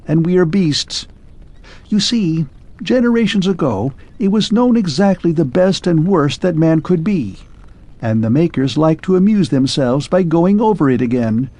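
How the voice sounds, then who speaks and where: A man doing a shrill fading clockwork man. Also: a little mellow. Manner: pleading, wistful, grows faint and distant.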